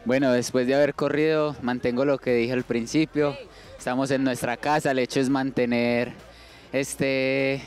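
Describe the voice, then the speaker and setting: A man, speaking earnestly in an athlete interview. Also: medium pitch. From Colombia. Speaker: orienteering athlete. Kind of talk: post-race interview.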